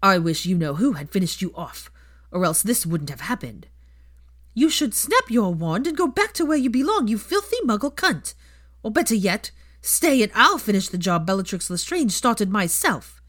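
A woman's voice: medium pitch, very animated, moderate pace, fairly bright tone, pitched above the performer's own voice. A woman voicing an angry, scornful writer of hateful letters in an audiobook story. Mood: threatening. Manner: venomous, scornful. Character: angry letter writers shrieking venomous insults.

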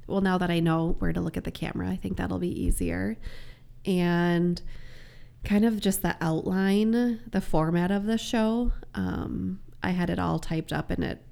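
A woman chatting casually as a podcast guest, raspy as she gets over a cold. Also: on the low side, slow pace, warm tone. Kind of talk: casual chat.

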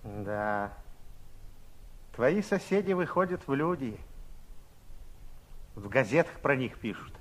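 An actor playing a spiteful, wheedling old neighbour who needles and flatters in a 1960s Soviet radio play. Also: male voice, medium pitch, slow, long pauses. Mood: sly, taunting. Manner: ingratiating, needling, exclamatory. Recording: muffled 1967 radio theatre recording.

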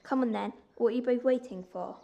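A woman's voice: a little high, a little fast, creaky, dark tone. A female performer playing a bossy, threatening teenage bully in a wartime orphanage drama, domineering and sneering.

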